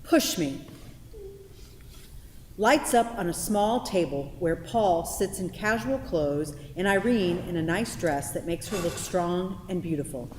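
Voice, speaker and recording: Female theater host, slightly low voice, live introductions and stage directions, reverberant hall with faint noise. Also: animated, a little slow.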